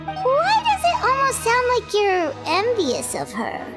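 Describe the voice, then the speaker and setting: Game sidekick: tiny cheeky fairy, piping high squeak, very animated, music behind. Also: female voice, takes it slowly, exaggerated voice. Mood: curious. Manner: squeaky, chirpy, refers to herself by name. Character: tiny chirpy floating fairy companion, childlike, cheeky and curious. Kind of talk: video game voice acting.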